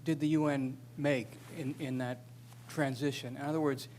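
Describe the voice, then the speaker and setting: A man in the audience asking a measured, polite question. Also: mid-range voice, fairly even intonation, a little mellow, a little hiss or hum.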